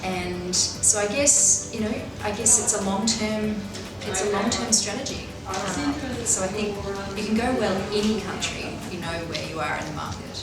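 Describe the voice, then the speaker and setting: A middle-aged woman, answering interview questions in a measured, businesslike way with frequent fillers and restarts. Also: mid-range voice, over loud music. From Australia. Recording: echoey room, far from mic, loud background noise.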